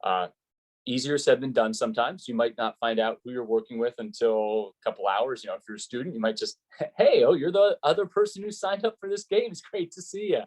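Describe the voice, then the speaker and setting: A man's voice: mid-range voice, brisk, a little mellow. A man who works as a sports play-by-play announcer, speaking conversationally in an interview and explaining his advice in an earnest, emphatic way.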